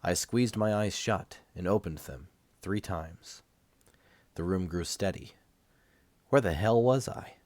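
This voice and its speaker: A man with a low voice narrates a novel in the first person. He is recovering from a cold and reads in a measured way with dramatic pauses. The sound is dry and close. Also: a little mellow, dry recording. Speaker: amateur audiobook reader.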